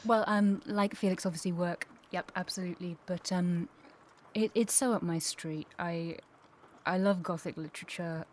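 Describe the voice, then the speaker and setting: A woman's voice: on the low side, steady tone, normal speed, a little mellow, a little hiss or hum. A woman chatting casually and enthusiastically as an actress being interviewed. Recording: faint background ambience.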